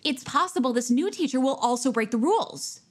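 A woman playing a zealous, nosy high school senior in an audio drama, pushy and earnest in a self-righteous way. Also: lively intonation, brisk. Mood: scheming, self-righteous.

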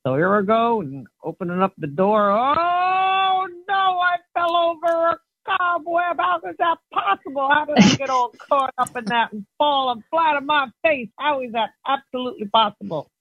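A woman's voice: fairly high pitch, animated, normal speed, hardly any pauses, no room sound. A woman playing a folksy, bumbling farmer in an improvised comic story. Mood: jolly, then exasperated. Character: folksy bumbling farmer, sing-song name, exclaims at mishaps. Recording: muffled, phone-like line.

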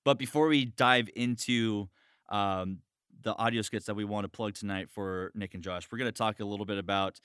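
A man's voice: on the low side, fairly even intonation, moderate pace, fairly bright tone, smooth, no echo, clean studio sound. A man hosting a podcast conversation in a casual, informal style. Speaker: host.

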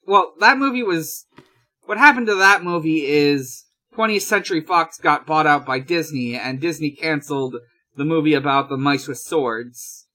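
A man hosting a podcast, chatting casually through news in a halting, hesitant way with drawn-out pauses. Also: on the high side, bright, forceful tone. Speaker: podcast host.

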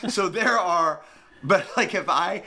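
A middle-aged man from the northern United States in casual conversation, telling stories in an animated, emphatic way and repeating phrases for effect. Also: high-pitched, slow pace, creaky.

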